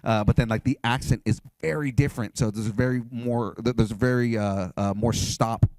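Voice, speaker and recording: A man's voice: medium pitch, fairly even intonation, fairly quick, darkish tone, no room sound. A man hosting a casual interview, asking questions in a chatty, friendly way with lots of fillers. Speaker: podcast host. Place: comic convention.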